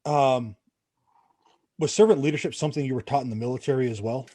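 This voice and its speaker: Male host, brisk and friendly, dry close-miked sound. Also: medium pitch, warm tone, dry recording. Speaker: podcast host. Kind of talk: hosting an interview.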